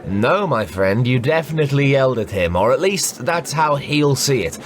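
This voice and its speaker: A man's voice: medium pitch, normal speed, lightly acted. A man playing a chatty, jovial, teasing soldier with a taste for betting in a fantasy audio drama. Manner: animated, teasing. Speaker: voice actor.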